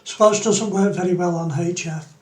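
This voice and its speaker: A man with a noticeably high-pitched voice presents an informal, unscripted tutorial. He explains each step as he demonstrates it on screen. The recording has a clear room echo around the voice. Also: steady tone, normal speed.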